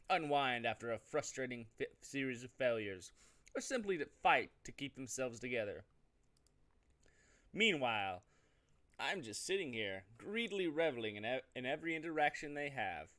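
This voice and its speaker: A man hosts a casual gaming show in an ordinary mid-pitched voice. He moves between reading game text aloud and offhand, joking commentary. His delivery rambles in a relaxed let's-play style.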